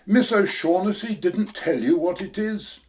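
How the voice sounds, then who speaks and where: An actor playing a smooth, dangerous fat villain who drawls and chuckles pompously in an amateur audio play. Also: male voice, sits high, measured pace, darkish tone. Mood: smooth menace.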